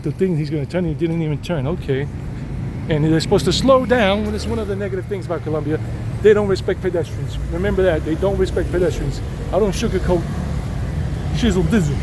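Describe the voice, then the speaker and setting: A man's voice: on the high side, brisk. A middle-aged man, chatting casually as he walks a city street and narrates a tour. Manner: chatty and rambling, switching into Spanish with locals. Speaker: walking tour vlogger. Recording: outdoor street noise. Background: traffic and street noise.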